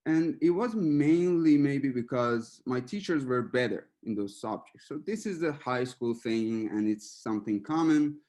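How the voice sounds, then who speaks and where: Iranian-born man giving a relaxed webinar talk. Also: medium pitch, a little slow, hardly any pauses. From Iran. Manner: relaxed, searching for words, with small laughs. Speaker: machine learning researcher giving a webinar.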